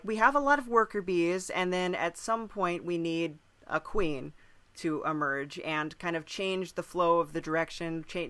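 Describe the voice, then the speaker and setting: Woman on a podcast thinking out loud, crisp bright sound, chatty and thoughtful. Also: on the low side. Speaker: podcast speaker. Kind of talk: conversational podcast discussion.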